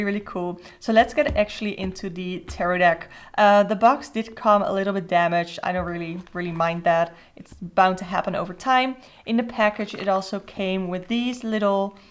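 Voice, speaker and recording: A woman with a Dutch accent hosts a relaxed flip-through of a tarot deck, speaking English as her second language. She chats in a loose, rambling way, with fillers and restarts as she goes. Her voice sits at a middle pitch. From Netherlands. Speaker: tarot channel host.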